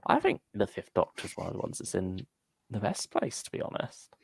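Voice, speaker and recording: Gravelly, creaky-voiced man in casual discussion. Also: lowish voice, huge pitch swings, a little fast, no room sound, clean studio sound. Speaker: co-host of a fan discussion show. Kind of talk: casual chat, reading out viewer comments.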